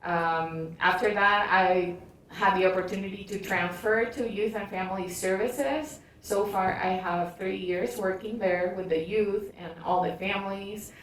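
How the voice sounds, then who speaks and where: Slow, hesitant woman in a very echoey room. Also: mid-range voice, steady tone, fairly bright tone. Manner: hesitant, short halting phrases. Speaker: school board candidate. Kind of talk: campaign introduction at a forum. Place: indoor public forum room. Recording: very echoey room, distant mic, faint noise.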